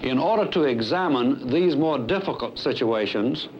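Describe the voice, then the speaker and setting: Emphatic American executive, dull old film soundtrack. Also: male voice, medium pitch, animated, a little hiss or hum. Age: 50s. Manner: emphatic, earnest, deliberate. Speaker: airline executive presenting a staff training film. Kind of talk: formal scripted address.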